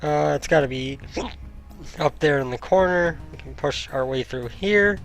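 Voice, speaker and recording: A young man commenting casually while playing a video game, thinking aloud with many ums, his voice getting slightly hoarse. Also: fairly high pitch, measured pace, low-quality recording. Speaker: gaming YouTuber. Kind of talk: casual let's-play commentary. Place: home room, indoors. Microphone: headset mic. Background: faint game music.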